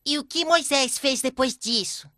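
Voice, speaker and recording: A woman voicing a curious, reverent young grandson in an animated storybook. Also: high-pitched, normal speed, heavy background noise, natural voice.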